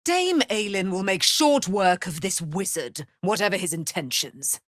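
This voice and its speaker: Actress doing proud immortal warrior lady, fantasy game, slow n grand declamations, super dry recording. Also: mid-range voice, animated, no echo, close to an everyday voice. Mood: haughty, measured. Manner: declamatory, archaic, grand, speaks of herself in third person. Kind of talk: dramatic fantasy game acting.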